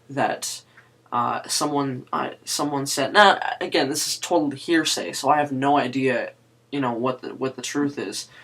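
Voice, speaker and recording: A young woman with a low, androgynous voice, hosting a casual video chat and talking to camera with many pauses, ums and restarts. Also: a bit bright. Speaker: guest host of a video chat series.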